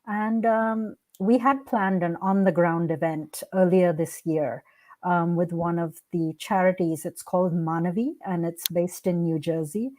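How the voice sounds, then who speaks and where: A woman with a fairly low, soft-edged and mellow voice speaks as an interview guest. Her native language is Hindi. She answers conversationally, in a reflective and earnest manner. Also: fairly even intonation, a little slow. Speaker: podcast guest, art curator and founder.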